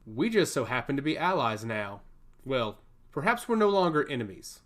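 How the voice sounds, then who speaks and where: Man acting god-touched schemer, fantasy story, smug, needling, theatrical, highly varied intonation. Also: big pitch swings, normal speed, a bit bright. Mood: gloating. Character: smug, teasing god-touched male emissary, giggling schemer. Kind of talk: fantasy audio story character.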